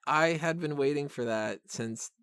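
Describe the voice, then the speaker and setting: A man's voice: fairly high pitch, a little slow, fairly bright tone, clear, resonant tone, no echo, clean studio sound. A man hosting a podcast and talking casually about films in a rambling, informal, mildly profane fan style. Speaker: podcast host reviewing films. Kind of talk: casual film discussion.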